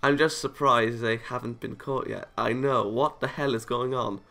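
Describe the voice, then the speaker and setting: A man's voice: mid-range voice, fairly even intonation, normal speed, gravelly, bright, forceful tone. A man playing a visual novel, reading the story aloud and adding casual reactions of his own. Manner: chuckles and comments between read lines. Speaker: video game let's player.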